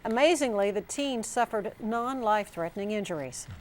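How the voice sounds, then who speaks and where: A woman's voice: medium pitch, lively intonation, normal speed. A woman reading scripted television news as an anchor in a studio.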